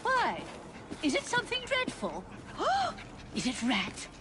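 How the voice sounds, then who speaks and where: A woman playing an excitable upper-class Victorian politician's wife in a video game, with a grand, chatty English upper-class accent. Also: high voice, slow pace, very noisy, exaggerated voice. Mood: thrilled, curious. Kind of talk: video game cutscene acting. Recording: loud music over the dialogue.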